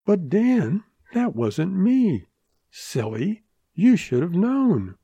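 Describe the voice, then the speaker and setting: Man voicing a spirited young woman, lifted pitch. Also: huge pitch swings, very slow, mellow, husky, dry recording, full character voice. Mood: amused, indignant. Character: young woman June, spirited, teasing, earnest. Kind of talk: audiobook western character voice.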